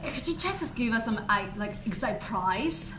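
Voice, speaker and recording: A woman's voice: a little high, expressive, a little slow. A woman acting an exasperated, cynical ticket queuer in an amateur stage comedy. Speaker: student actor in a school play. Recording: noisy stage recording.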